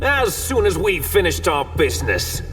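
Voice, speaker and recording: An actor playing a vengeful, boastful British rebel soldier in a video game, sneering and grandiose. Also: male voice, high voice, very animated, normal speed, a bit bright, distant mic, faint music behind.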